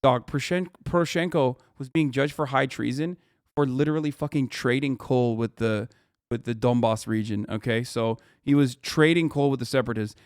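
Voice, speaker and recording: A smooth-voiced streamer ranting, dry sound. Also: male voice, medium pitch, normal speed, dry recording. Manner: heated, profane ranting with emphatic repetition. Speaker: streamer reacting to a news video. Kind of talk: live-stream reaction commentary.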